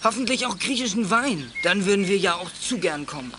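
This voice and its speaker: High-voiced, sneering teenage bully. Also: male voice, lively intonation, a little fast, background noise. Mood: mocking, swaggering. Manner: mocking, mannered, menacing. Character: sneering, swaggering teenage bully boy, sixteen. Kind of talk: German children's audio drama acting.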